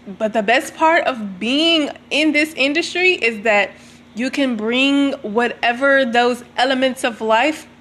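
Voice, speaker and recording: A woman's voice: on the high side, fairly bright tone. A woman giving a motivational talk as a guest speaker, chatty and addressing her listeners directly. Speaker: entrepreneur and stylist guest speaker.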